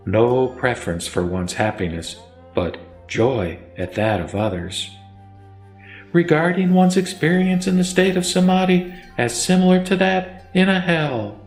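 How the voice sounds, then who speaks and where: A man narrating a Buddhist scripture as a scripted recitation, in a solemn, formal and measured manner. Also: lowish voice. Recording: echoey voice over faint music. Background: faint music.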